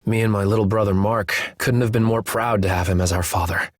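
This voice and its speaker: An actor playing a somber, haunted young man in a video game cutscene, speaking in an earnest, subdued and measured way. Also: fairly low pitch, steady tone, no room sound, studio quality, close to an everyday voice. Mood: somber, bitter.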